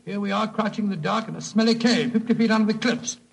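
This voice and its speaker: A creaky, high-pitched older British gentleman in vintage radio drama. He is fussy and blustery, a loyal companion given to grumbling. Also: fast talker, heavily acted, poor audio. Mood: anxious, indignant. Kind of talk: 1940s radio drama acting.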